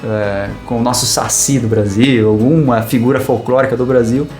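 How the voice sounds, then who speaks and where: A man chats casually about a film as co-host, in a relaxed, meandering way full of fillers. His voice sits in a mid range. The room is echoey, with soft guitar music in the background. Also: medium pitch, moderate pace. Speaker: podcast co-host. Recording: roomy echo, faint guitar music.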